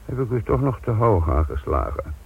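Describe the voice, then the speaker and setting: A middle-aged police inspector in a radio play, low and husky. He is blunt, sardonic and embittered, a dogged investigator. The recording is tinny and narrow, like an old radio. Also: very animated, normal speed, exaggerated voice. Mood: probing, sardonic. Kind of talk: Dutch radio drama acting.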